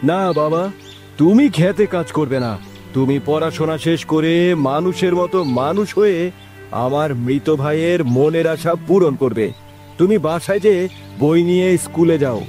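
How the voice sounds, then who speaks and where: A man voicing a kind, protective farmer uncle in a children's cartoon, gentle but firm. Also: a little high, unhurried, soft background music, lightly acted. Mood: firm, caring.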